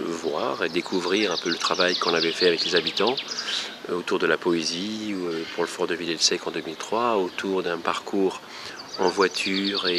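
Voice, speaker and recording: Low-voiced man amid loud birdsong and noise. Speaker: theatre company representative. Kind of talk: explaining in interview.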